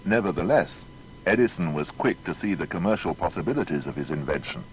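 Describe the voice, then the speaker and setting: A man's voice: sits low, expressive, a little fast, a little hiss or hum, low-quality recording. A man narrating a scripted biography in a dramatic, rhetorical manner.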